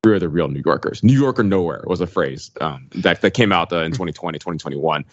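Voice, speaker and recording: A man's voice: low voice, expressive, quick pace, no room sound. A man from the United States chatting as a podcast panelist, emphatic and opinionated. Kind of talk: casual discussion. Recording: dull, dry lo-fi audio.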